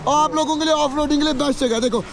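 A man's voice: extremely high pitch, gravelly. A young man vlogging casually to an action camera held at arm's length outdoors in the hills, joking with viewers. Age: young adult. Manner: excitable, joking, quick asides to viewers. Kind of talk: casual travel vlog to camera. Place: outdoors in hill villages and forest.

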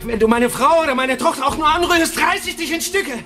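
A man's voice: brisk, over music. A man playing a desperate, enraged captive in a radio thriller, screaming threats to protect his family. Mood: enraged, threatening. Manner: screaming threats in a strained high voice. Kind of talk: German radio thriller acting.

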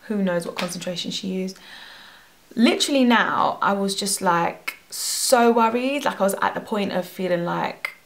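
A young woman tells a lively, expressive story straight into the camera from her bedroom. Her voice carries a gravelly edge. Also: medium pitch, normal speed. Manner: animated, expressive, gesturing a lot. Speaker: hair vlogger. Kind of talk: storytime vlog. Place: bedroom at home. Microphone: camera at face distance.

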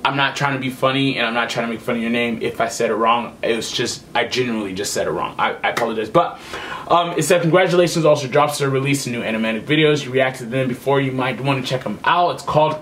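A man's voice: mid-range voice, fast, fairly bright tone, a little hiss or hum. A young man giving casual reaction commentary as a video host, emphatic and repeating his phrases. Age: young adult. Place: small home room with computer setup.

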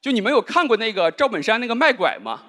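A man in his thirties performing a deadpan stand-up comedy set on a large studio stage, speaking into a handheld microphone. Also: extremely high pitch, fast talker. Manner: dry, deadpan delivery with rhetorical asides. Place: large indoor studio stage with live audience. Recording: live audience laughter.

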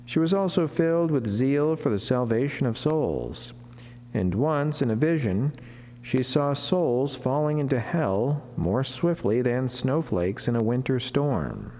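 A long church reverb and a noticeable humming background surround this man's voice. He is a middle-aged friar delivering a written devotional sermon from a chapel lectern. His delivery is formal and measured, with deliberate pauses and an average male pitch. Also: lively intonation, dark tone, slight background noise. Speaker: Franciscan friar giving a daily saint reflection. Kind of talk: solemn read sermon, devotional reading. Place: wood-panelled chapel, indoors, at a lectern.

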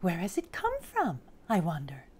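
A woman's voice: lowish voice, wildly swooping pitch, moderate pace, a little mellow, slightly rough, exaggerated voice. A woman voicing a small, curious young female elf from a picture book, wide-eyed and exclaiming. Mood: wondering.

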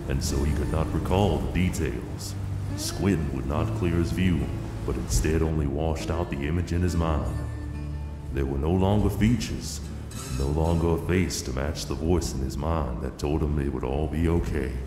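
A man narrating an audio drama as a grave, solemn storyteller of a western tale. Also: a bass voice. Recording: echoey long reverb under loud music. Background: music.

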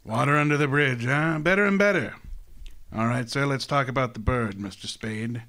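An actor playing a genteel, scheming older crime boss in a radio drama, speaking in a courtly, grandiloquent, relishing way. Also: male voice, medium pitch, moderate pace, pushed and bright. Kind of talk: hardboiled detective radio drama acting.

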